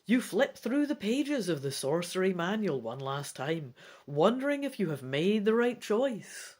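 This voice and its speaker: A woman reading a fantasy gamebook aloud as its player, in an expressive, theatrical storytelling style. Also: medium pitch, unhurried. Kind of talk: dramatic storybook narration with casual asides.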